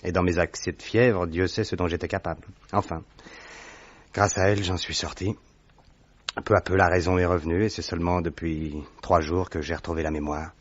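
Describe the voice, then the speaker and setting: A weary convalescent. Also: male voice, deep voice, fairly even intonation, fairly quick. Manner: earnest, tired recounting. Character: weakened convalescent young man, earnest. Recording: dry, dull vintage radio recording.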